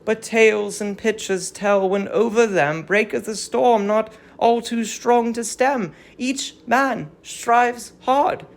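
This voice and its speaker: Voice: mid-range voice, slow, few pauses. A performer playing an aged fallen queen, sorrowful and resigned, in a stately declamatory reading of Greek tragedy.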